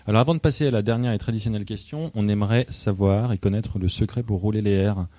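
A man co-hosting an interview, with quick, chatty follow-up questions. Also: fairly low pitch, dark tone, dry recording. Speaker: school show co-host.

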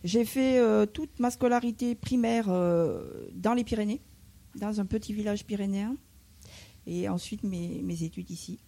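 Woman with a southern French accent, gravelly husky voice, mellow tone, hesitant speech. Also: mid-range voice, measured pace. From southern France. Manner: hesitant, many fillers. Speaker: Spanish teacher. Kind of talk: interview answers.

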